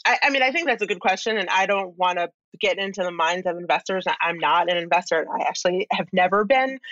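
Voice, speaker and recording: A woman's voice: mid-range voice, a bit bright, dry recording, lo-fi sound. A woman speaking as an interview guest, explaining her points conversationally and thoughtfully, with emphasis as she lists them. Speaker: interview guest, expert commentator.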